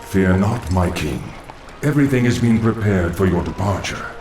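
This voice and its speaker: A man's voice: deep voice, lively intonation, normal speed, a little mellow, a little hiss or hum. An actor playing a loyal undead lich in a fantasy game, solemn and deferential toward his master. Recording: echoing voice over faint music.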